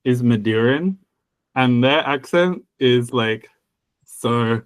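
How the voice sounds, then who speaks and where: A man's voice: mid-range voice, takes it slowly, smooth, no room sound. A man co-hosting a podcast, chatting casually about language, rambling with many restarts and laughing at himself. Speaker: podcast co-host.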